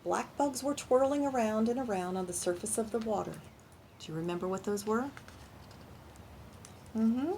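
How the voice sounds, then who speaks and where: Lady doing kids storytime, animated read-aloud, stops to ask the kids stuff and explain it simply, bit of noise behind. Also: fairly high pitch. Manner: pauses to ask children questions and explain simply. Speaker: children's librarian and storytime reader. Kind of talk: animated picture-book read-aloud for young children.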